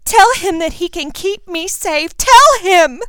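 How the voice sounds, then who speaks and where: A woman's voice: animated, slow, a bit bright, no room sound, full character voice. Frantic tearful young witch, as a woman puts her on. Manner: high, pleading, near tears.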